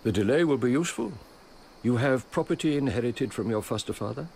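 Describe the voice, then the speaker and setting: An actor playing a devout, shrewd old merchant and loyal steward in a radio drama, speaking in an earnest, measured way. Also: male voice, medium pitch, animated, vocal fry, darkish tone. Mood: businesslike. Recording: radio drama with faint background noise.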